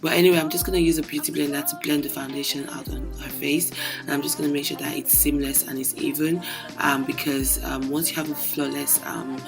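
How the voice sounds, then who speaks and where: A young woman makeup artist with a hoarse, lost voice, narrating a tutorial with many hesitant ums. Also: deep voice, little pitch movement, fairly quick. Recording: music playing underneath.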